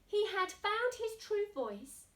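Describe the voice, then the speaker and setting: A woman's voice: very high-pitched, very animated, slow pace, fairly bright tone, far from the mic. A woman reading a picture book to young children theatrically, with asides and questions to her listeners. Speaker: storybook reader. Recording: echoey room.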